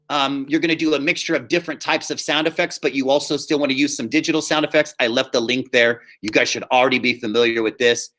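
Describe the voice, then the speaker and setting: A man speaking as a high school drama teacher, giving upbeat, informal instructions to students and often checking that they follow. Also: a bit bright. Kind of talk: casual instructional talk to students in an online class.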